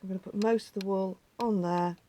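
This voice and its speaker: A woman with a slightly croaky voice, patiently talking viewers through a craft step by step and often dropping to a near whisper. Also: medium pitch, fairly even intonation, fairly quick, mellow. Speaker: needle felting teacher. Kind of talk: hands-on craft tutorial.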